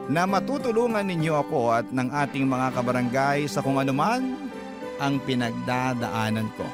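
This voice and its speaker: Male radio narrator over loud music. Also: mid-range voice. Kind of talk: scripted dramatic narration of a listener's letter. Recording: loud background music throughout.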